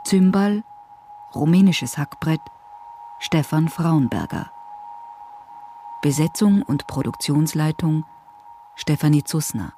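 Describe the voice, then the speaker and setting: Slow, dark-voiced woman announcer. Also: sits low, expressive, soft background music. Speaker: credits announcer. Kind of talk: scripted announcement.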